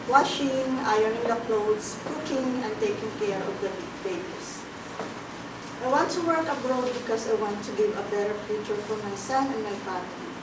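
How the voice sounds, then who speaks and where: Woman in her twenties, somewhat high voice, formal polite applicant, echoey room, mic at a distance. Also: noisy background. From Philippines. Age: 20s. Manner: formal, rehearsed, polite with "ma'am". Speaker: job applicant. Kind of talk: mock job interview.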